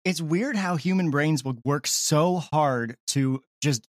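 A man's voice: a little high, slow, dry recording, studio quality. A man chatting casually in an interview, with a wry, joking manner. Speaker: comedy podcast creator.

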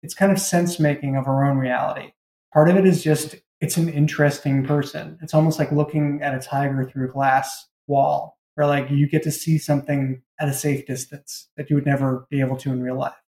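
A man with a somewhat high, very mellow voice explains things in casual interview chat as a writer. The room around him rings with echo. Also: little pitch movement, a little fast. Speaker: novelist and creator, interview guest. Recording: echoey room sound.

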